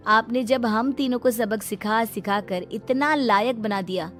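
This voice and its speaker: A young married daughter in a cartoon story, put on by a woman narrator. Her high voice is animated and whiny as she grumbles about a lazy husband. Soft music runs behind her. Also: a little slow, close to an everyday voice. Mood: eager, teasing.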